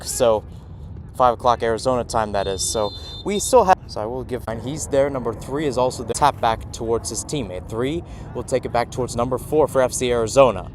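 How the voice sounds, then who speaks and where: Man calling live soccer over outdoor noise. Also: mid-range voice. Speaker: play-by-play commentator. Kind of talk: live soccer radio commentary. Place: outdoors at a youth soccer field. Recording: noisy outdoor ambience, traffic hum.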